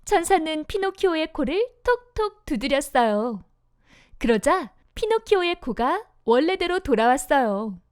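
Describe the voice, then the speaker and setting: A woman narrates a children's fairy tale in a high, animated voice. The delivery is scripted and expressive, in a close, dry recording. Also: measured pace, no room sound. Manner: expressive storytelling for young children. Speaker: storybook narrator.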